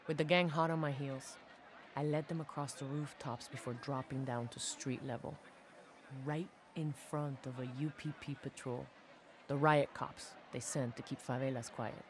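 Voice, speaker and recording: A woman's voice: a bass voice, steady tone, moderate pace. A young female special forces soldier confides her story in a game audio log. She talks casually and reflectively, like a street kid made good. Faint ambient noise sits behind her voice. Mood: tense, urgent. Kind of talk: dramatic first-person audio log narration.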